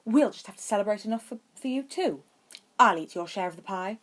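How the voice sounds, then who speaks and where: A woman's voice: medium pitch, expressive, fairly quick, a bit bright, pitched above the performer's own voice. A woman voicing a wry, gloating, theatrical young schoolboy in a story reading. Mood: brisk.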